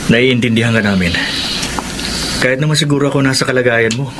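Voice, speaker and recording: Guy acting a protective calming buddy, talks fast, radio drama, really noisy background. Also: mid-range voice, close to an everyday voice. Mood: reassuring. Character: steady, protective, reassuring male friend. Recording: heavy background noise.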